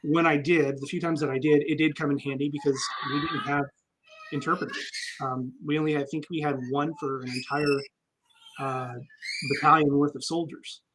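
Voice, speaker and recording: A man's voice: fairly high pitch, fairly even intonation, normal speed, background noise. A man, an army veteran guest, telling stories candidly and reflectively in a casual interview, with frequent ums.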